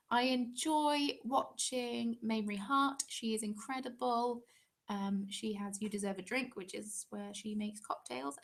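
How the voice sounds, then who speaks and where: Chatty English woman, slightly high voice. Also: vocal fry. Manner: chatty, rambling, frequent ums. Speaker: craft channel host. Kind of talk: casual live-stream chat.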